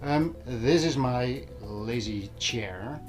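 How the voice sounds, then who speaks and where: A man's voice: mid-range voice, drawn-out words, darkish tone. A man talking casually to viewers, explaining things in a conversational way as an audio vlogger. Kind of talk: casual vlog talk to viewers. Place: small room at home. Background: music playing.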